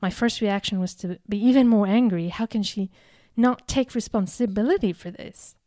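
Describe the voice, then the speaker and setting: Woman, 30s, from Algeria, delivering a personal talk; earnest and deliberate, warm timbre, dry recording. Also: mid-range voice, no echo. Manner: earnest, deliberate, with emphatic repetitions. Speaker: speaker giving a talk. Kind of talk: prepared personal storytelling speech.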